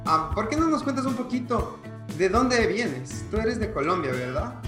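A man's voice: high voice, big pitch swings, moderate pace, over music. An upbeat man co-hosting a casual interview, introducing and questioning a guest with enthusiasm. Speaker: podcast co-host. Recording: echoey room.

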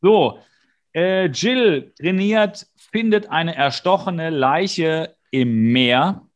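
A man with a slightly higher-pitched voice co-hosts a podcast. He retells things in a casual, bantering way. His delivery is sarcastic and mock-exasperated. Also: lively intonation, extremely slow. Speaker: podcast co-host. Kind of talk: casual episode recap banter.

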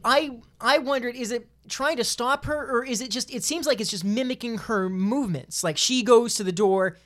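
A woman's voice: a little high, moderate pace. A woman on a podcast panel casually discussing films in an emphatic, opinionated way.

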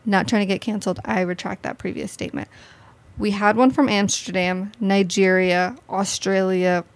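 A woman's voice: mid-range voice, fairly even intonation, normal speed, no room sound. A woman hosting a podcast in a playful, jokey way, with theatrical swings in her voice as she chats. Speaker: podcast host. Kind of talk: casual chat.

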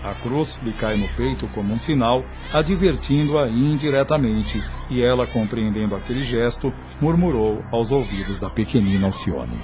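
A man narrating a radio drama in a formal, solemn and measured storytelling style. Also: mid-range voice, animated, background noise. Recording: radio production with music underneath. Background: background music.

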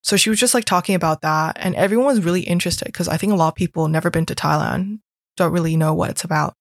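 A woman's voice: lowish voice, quick pace, creaky, no echo, studio quality. A young woman from Hong Kong, chatting casually about her school days and peppering her talk with "like". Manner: chatty storytelling, heavy use of "like". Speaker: guest recalling school experiences. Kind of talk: casual chat.

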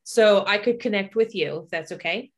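A woman's voice: medium pitch, moderate pace. A woman in her forties talking casually and explaining her practice as an energy healer. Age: 40s.

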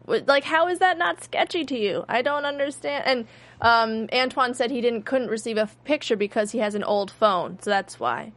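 A woman's voice: fairly high pitch, normal speed, a bit bright. A woman co-hosting a podcast, chatting casually about a TV show with plenty of filler words. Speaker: podcast co-host.

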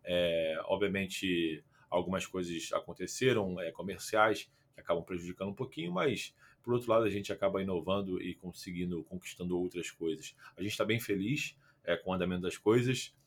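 A young man with a Carioca accent and a fairly low voice, chatting on a podcast over a remote call. He rambles, leans on fillers and restarts his sentences often. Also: fairly quick, few pauses. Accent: Carioca Portuguese. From Rio de Janeiro, Brazil. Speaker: podcast guest, football content creator. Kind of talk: casual podcast conversation.

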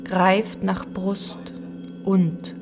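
A woman performing spoken-word poetry deliberately, in short separated phrases. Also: mid-range voice, completely flat delivery, noisy background. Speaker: reader of literary texts. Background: music.

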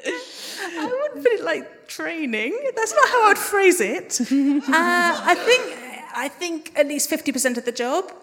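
A woman's voice: very high-pitched, lively intonation, measured pace, a crowd in the background. Woman answering in a reverberant hall. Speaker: guest sound designer and composer on a live panel. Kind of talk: conversational panel answers to audience questions. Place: live podcast festival stage in a hall. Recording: reverberant hall at a live event.

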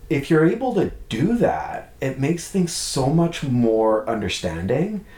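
A man's voice: medium pitch, big pitch swings, measured pace, warm tone, echoey room. A man speaking candidly as a podcast guest about his past relationship, reflective and open, often ending his points with a questioning "right?". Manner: open, reflective, many restarts and "right?" tags. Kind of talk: candid conversational chat.

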